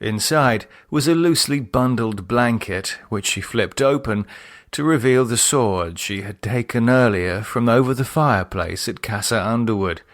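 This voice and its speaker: A man's voice: medium pitch, normal speed, dry recording, clean studio sound. A man giving a scripted, dramatic narration of fiction as an audiobook reader.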